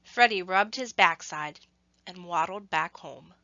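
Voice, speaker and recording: A woman reading a scripted story to children, with expressive, measured phrasing and emphasis on action words. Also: fairly low pitch, no room sound, muffled recording.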